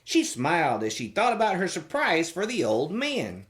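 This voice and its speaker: Read-aloud host for kids, playful and theatrical, with hugely swooping pitch. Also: huge pitch swings, moderate pace, a bit bright. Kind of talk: expressive storybook reading.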